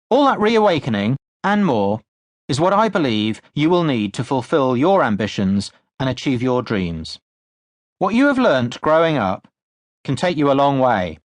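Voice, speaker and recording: A man reading his own nonfiction audiobook in a scripted, measured way with deliberate, emphatic stresses, recorded in a studio. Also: medium pitch, dry recording. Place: close, dry studio recording.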